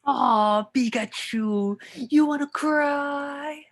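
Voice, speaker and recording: A man's voice: very high voice, very slow, fairly bright tone, full character voice. A man voicing a scheming, gloating villain of creature fights, taunting and theatrical, in an amateur table reading.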